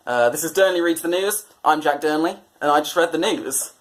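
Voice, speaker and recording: A man's voice: on the high side, brisk. A British man presenting satirical commentary on newspapers in a sardonic, mock-exasperated way. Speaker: comedy presenter.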